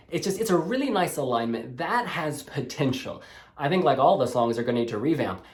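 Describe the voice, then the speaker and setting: A man's voice: mid-range voice, darkish tone. A man hosting a music blog video, gushing and sassy as he casually reviews song demos. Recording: roomy echoey room.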